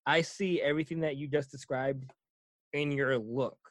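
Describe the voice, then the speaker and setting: A man's voice: medium pitch, fairly even intonation, normal speed, no room sound. A man interviewing a guest in casual chat, rambling and laughing often.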